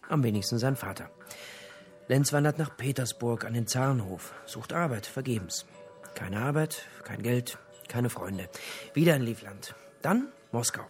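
An actor playing an investigator in a naturalistic radio drama, laying out findings in a dry, clipped way. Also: male voice, mid-range voice, animated, moderate pace, soft background music, lightly acted. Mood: brisk, grim recounting. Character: male investigator, dryly explaining his findings.

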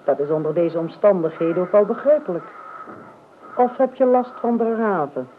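An actress as a formal older aunt in a radio play. She is dry, sharp-tongued and measured in her politeness. Her voice sits mid-range on a tinny old recording. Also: medium pitch, slight background noise, lightly acted. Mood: coolly polite.

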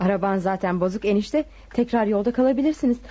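An actress playing a bossy, sharp-tongued married daughter in a radio drama, commanding and needling her relatives. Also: medium pitch, fast talker, close to an everyday voice. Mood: brisk, needling. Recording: dull lo-fi radio drama recording.

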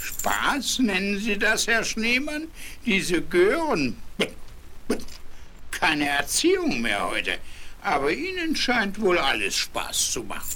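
Grantigen alten Hofhund, extrem lebhafte Sprachmelodie. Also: male voice, high-pitched, wildly swooping pitch, takes it slowly, pushed and bright, full character voice. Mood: indignant. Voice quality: hoarse, weak bark of a voice. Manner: grumbling, sarcastic. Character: grumpy, spiteful old male farm dog. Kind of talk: 1970s German children's radio play acting.

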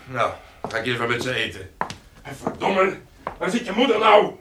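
An actor in a radio play as a gruff, jealous middle-aged husband and father, blunt and scornful. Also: medium pitch, sing-song, moderate pace, fairly bright tone, a little hiss or hum. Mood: irritated.

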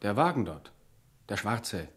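Watchful cashier with animated intonation. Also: male voice, fairly low pitch, unhurried. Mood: suspicious. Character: watchful, suspicious male chief cashier. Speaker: actor in a radio play. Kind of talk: 1970s German radio drama acting.

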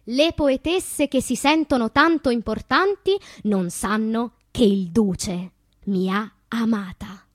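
Radio play: wounded, wistful woman poet, husky and breathy, dramatic deliberate delivery, very dry close sound. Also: fairly high pitch, dry recording. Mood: proud, dramatic. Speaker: actress. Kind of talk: theatrical radio monologue of poetic memoir.

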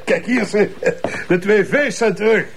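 An actor with a Flemish accent playing a teasing, self-satisfied middle-aged police chief, jovial, mocking and bossy. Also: male voice, sits high, creaky, a little hiss or hum, exaggerated voice. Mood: amused, teasing. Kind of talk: TV drama acting.

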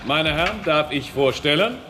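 An actor playing a polite, diplomatic interpreter and go-between in a naturalistic radio drama. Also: male voice, a little high, animated, normal speed, background noise, natural voice. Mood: courteous, formal.

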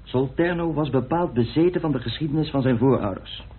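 An actor plays a self-assured man in a radio drama. He explains and argues assertively in a husky, mid-pitched voice, with a dry manner. The sound is thin and boxy, like an old phone line. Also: a little fast, close to an everyday voice. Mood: assertive, explaining. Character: self-assured man coolly arguing his theory of a murder. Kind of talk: radio play acting.

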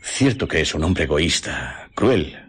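Deep-voiced, pensive gentleman doctor. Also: very animated, unhurried, slight background noise, heavily acted. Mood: pensive, concerned. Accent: Spain. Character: thoughtful, troubled gentleman doctor reasoning out a crime. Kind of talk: Spanish radio drama acting.

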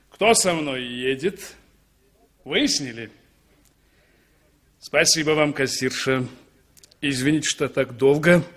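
A man's voice: medium pitch, a bit bright. A man playing a pushy, huffy motorist in a 1960s radio drama, insistent and easily affronted. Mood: insistent, obliging. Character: pushy, huffy male motorist offering lifts.

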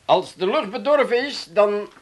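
A man's voice: high voice, expressive, moderate pace. A man playing a nervous, hapless, whining amateur in a radio comedy, flustered, stammering and plaintive. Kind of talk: 1980s radio comedy acting.

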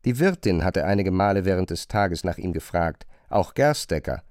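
Animated male literary narrator, dry studio sound. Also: low-pitched, dry recording. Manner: measured storytelling in long, carefully phrased sentences. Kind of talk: scripted literary narration for a radio play. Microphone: close studio microphone.

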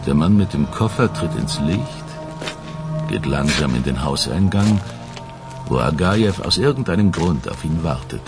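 An actor playing an earnest, methodical police investigator in a German radio crime drama, speaking deliberately. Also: male voice, rumbling low, heavily acted. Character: Russanow, male police investigator recounting a case, measured and earnest. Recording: studio radio drama with music underneath.

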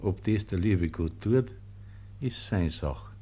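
An actor playing a pious, humble monastery porter in a German radio play, speaking simply and calmly with a Bavarian accent. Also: male voice, sits low, takes it slowly, slightly rough, exaggerated voice. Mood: patient, devout. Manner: calm, simple, gently admonishing.

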